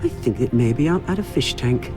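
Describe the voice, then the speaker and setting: A man's voice: medium pitch, expressive, normal speed, lightly acted. An actor playing a smug, falsely polite businessman in a video game, taunting his rival with mock sympathy. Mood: gloating. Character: smug gloating male usurper businessman, mocking, falsely polite. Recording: loud music behind the voice.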